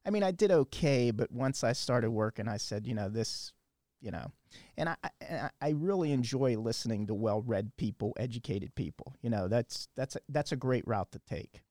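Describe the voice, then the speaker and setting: A man's voice: mid-range voice, lively intonation, normal speed, dark tone, dry recording, studio quality. A man in his sixties from western Pennsylvania, a podcast guest telling his story in a rambling way with many fillers and restarts. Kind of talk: casual storytelling.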